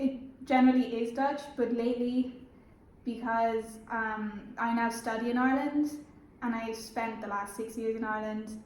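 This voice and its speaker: A young woman from Belgium talking casually in an interview, relaxed and matter-of-fact, with frequent fillers. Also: a little high, moderate pace, roomy sound, slight background noise, poor audio. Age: young adult.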